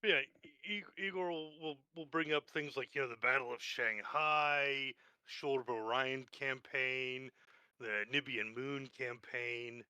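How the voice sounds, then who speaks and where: Very bright, sharp-voiced man. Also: mid-range voice, measured pace, clean tone, no room sound. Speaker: tabletop roleplaying player. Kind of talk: casual third-person roleplay narration.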